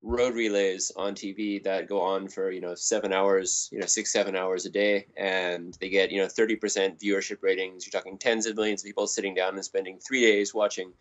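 A man who was born in Canada and grew up in the United States, explaining things in a measured way as an interview guest, with many ums. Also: low-pitched, level tone, lo-fi sound. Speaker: podcast guest, running expert. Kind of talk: interview answers.

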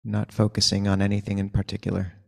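A man leading a guided meditation as a teacher, giving gentle, unhurried instructions with long pauses. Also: fairly low pitch, completely flat delivery, gravelly.

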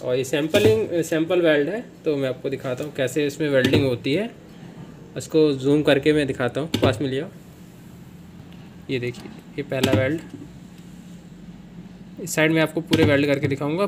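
A man's voice: fairly high pitch, noisy background. A man, showing off a product on camera in casual talk, with many fillers and self-corrections. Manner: filler-heavy, repeats "kya" questions to himself, self-corrects. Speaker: seller demonstrating a product. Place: small room, indoors.

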